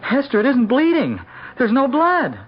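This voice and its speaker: An actor playing a naive, bashful middle-aged suitor in a 1970s radio drama, hesitant and boyishly earnest. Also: male voice, very high voice, wildly swooping pitch, normal speed, exaggerated voice. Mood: bewildered, alarmed. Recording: dull, noisy old radio broadcast.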